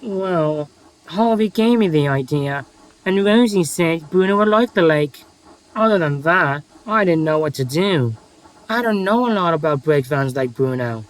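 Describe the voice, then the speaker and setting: A man's voice: fairly high pitch, animated, a little slow, low-quality recording. A man playing a sheepish, grudgingly kind diesel shunting engine in a fan-made audio story. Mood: modest.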